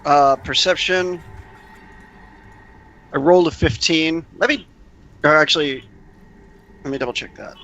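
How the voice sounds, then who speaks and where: Bright, sharp-voiced man playing tabletop games. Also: fairly high pitch, measured pace, pauses often, dull audio. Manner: explanatory, rules-minded, thinking aloud. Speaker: tabletop game player. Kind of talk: casual game chat.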